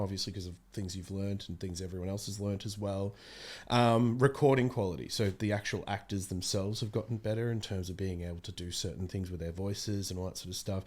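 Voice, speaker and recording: Low-voiced man, rambling. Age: 30s. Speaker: voice actor being interviewed. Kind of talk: casual interview chat. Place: small room studio, indoors. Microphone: close podcast microphone.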